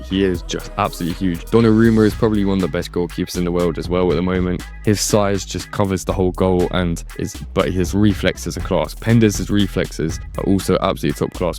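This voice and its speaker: A man's voice: sits low, a little mellow. A man hosting a football channel, talking through a countdown of young players in a conversational, opinionated way with occasional self-corrections. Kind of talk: countdown commentary on youth players. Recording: faint background music.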